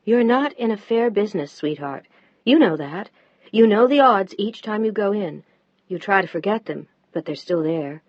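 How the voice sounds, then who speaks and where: A woman voicing a devoted wife who talks gently but firmly to her husband. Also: medium pitch, animated, moderate pace, dry recording, lightly acted. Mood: consoling. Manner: gentle but firm, rising when pushed. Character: devoted, pleading wife of a surgeon. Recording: dull, dry lo-fi recording.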